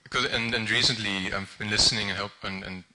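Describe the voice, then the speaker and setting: A young man, his tone bright and edgy. He sits a touch low in pitch and talks haltingly, full of ums and self-corrections, as though thinking aloud in front of a room. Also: fairly even intonation, rough recording. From Netherlands. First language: Dutch. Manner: hesitant, many ums and restarts. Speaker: volunteer translator speaking at an event. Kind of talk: informal public talk.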